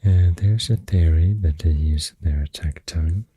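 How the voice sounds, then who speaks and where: A man with a Swedish accent speaking softly in a halting, half-whispered ASMR ramble, close to the microphone. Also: low-pitched, no echo. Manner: hushed, breathy, half-whispered. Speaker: ASMR host. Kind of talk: soft-spoken ASMR rambling.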